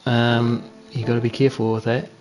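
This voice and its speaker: A man's voice: medium pitch, level tone, brisk, a little mellow, a little hiss or hum, rough recording. A young man with a New Zealand accent giving laid-back, chatty commentary while streaming a racing game, joking at his own mistakes. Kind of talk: casual gameplay commentary.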